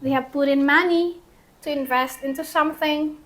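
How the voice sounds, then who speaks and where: A woman co-hosting a vlog, explaining a numbered list in a deliberate way. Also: high-pitched, steady tone, fairly bright tone. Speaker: vlog co-host. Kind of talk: presenting a numbered list to camera.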